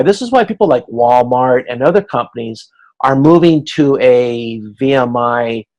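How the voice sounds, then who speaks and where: A man teaching an informal online class, explaining step by step in a halting way and voicing hypothetical exchanges as examples. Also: on the high side, darkish tone, poor audio. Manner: explanatory, halting, checks understanding, acts out hypothetical dialogue. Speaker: instructor. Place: video conference class.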